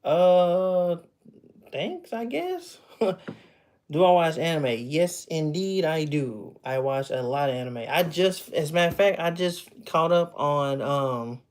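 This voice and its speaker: A man's voice: on the high side, animated, slow pace, a little mellow. A man in his thirties with a slightly raised voice, chatting with his stream audience from home. He talks loosely, laughs easily and often restarts his sentences. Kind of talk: casual livestream chat. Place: at home with memorabilia behind him.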